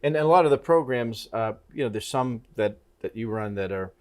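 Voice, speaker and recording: A middle-aged man hosting a TV talk interview, speaking in a halting way with many restarts and fillers. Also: medium pitch, lively intonation. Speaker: TV talk show host. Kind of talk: casual interview conversation.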